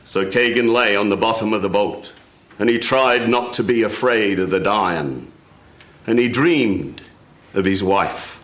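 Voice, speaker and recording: A man's voice: lowish voice, a little slow, a bit bright. A man telling a folk tale live, in a theatrical, incantatory storytelling manner. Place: radio studio before a live audience. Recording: roomy, echoing live radio studio.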